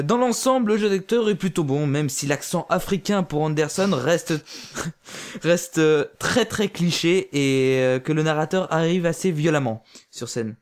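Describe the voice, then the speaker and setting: A man reviewing an amateur audio drama in casual spoken French, with frequent fillers and restarts. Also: a little high. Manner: hesitant, many fillers and restarts.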